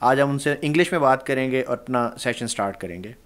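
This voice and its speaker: A man in his thirties hosting a studio interview, speaking fast with hesitant fillers, close to a studio mic. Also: medium pitch. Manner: rapid speech with hesitant fillers. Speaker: host. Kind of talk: studio interview host, Urdu then English. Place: small studio with red backdrop. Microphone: studio mic on boom arm.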